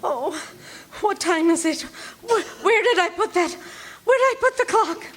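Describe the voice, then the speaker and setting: A woman's voice: very high-pitched, lively intonation, a little slow. An actress playing a nervous, demanding, self-pitying bedridden woman in a 1940s-style thriller radio play, fretful and melodramatic. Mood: panicky. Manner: imperious, fretful, querulous, melodramatic. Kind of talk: live stage radio drama acting, 1940s thriller.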